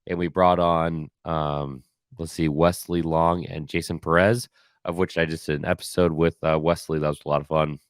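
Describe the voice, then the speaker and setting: Man, deep voice, raspy and a bit hoarse, halting casual talk, dry close sound. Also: little pitch movement, dry recording. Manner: halting, many fillers and restarts. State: slightly hoarse from cheering. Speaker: podcast host. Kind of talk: solo casual year-in-review talk.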